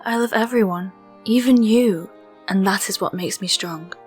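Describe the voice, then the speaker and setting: Lady playing noble amazon warrior princess, firm n solemn, medium pitch, audio drama w soft music under it. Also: normal speed, close to an everyday voice. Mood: solemn, compassionate.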